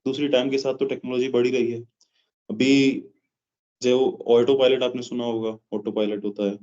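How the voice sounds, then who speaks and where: A man with an Indian accent explaining things in a measured way as an interview guest, pausing mid-phrase. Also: mid-range voice, flat delivery, a little mellow. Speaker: interview guest, aviation professional. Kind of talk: explanatory interview answers in Hindi mixed with English. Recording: dull, lo-fi sound.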